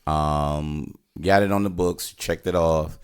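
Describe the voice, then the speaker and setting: A man's voice: extremely low pitch, steady tone, slow pace, clear, resonant tone. A middle-aged man co-hosting a casual film review, talking in a rambling, opinionated way. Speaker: podcast host giving a film review. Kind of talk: casual conversational movie review.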